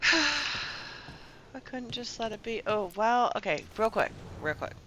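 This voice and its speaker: A woman's voice: fairly high pitch, big pitch swings, slow pace, bright, forceful tone, a little hiss or hum, low-quality recording. A woman giving casual live commentary as she plays a video game, often muttering short reactions to herself.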